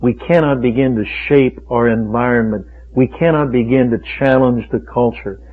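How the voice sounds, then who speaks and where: Male preacher, mid pitch, emphatic and repetitive, tinny lo-fi radio sound. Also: moderate pace. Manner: emphatic, rhetorical, repeats phrases for force. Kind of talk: fiery sermon. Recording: tinny phone-like radio recording.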